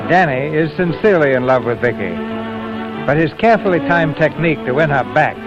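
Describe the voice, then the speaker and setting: A man's voice: fairly high pitch, lively intonation, a little fast, over loud music. A man narrating a scripted 1940s radio story as the narrator. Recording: dull vintage radio recording, loud orchestra behind.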